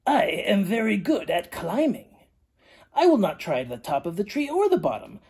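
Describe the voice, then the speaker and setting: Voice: medium pitch, big pitch swings, moderate pace, darkish tone, breathy edge, full character voice. A storyteller voicing a bold, confident animal volunteer from a children's storybook in a proud, eager, declamatory way.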